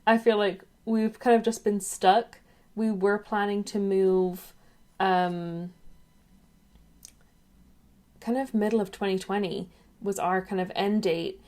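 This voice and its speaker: A woman's voice: medium pitch, fairly even intonation, takes it slowly, pauses often, darkish tone. Chatty woman vlogging on a webcam. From England, raised in Ireland. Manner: chatty, rambling, drifts off topic. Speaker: YouTuber. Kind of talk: casual chatty vlog.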